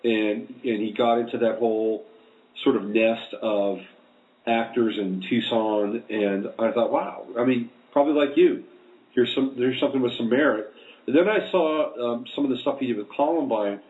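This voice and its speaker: A man interviewing in a casual conversational way, with halting, reflective phrasing. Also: mid-range voice. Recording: thin phone-like line.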